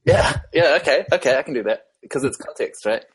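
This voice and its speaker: A man from New Zealand with a New Zealand accent, a podcast guest talking through his background in a thoughtful, measured way with frequent ums. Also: medium pitch, lively intonation, slightly rough. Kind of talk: casual interview conversation.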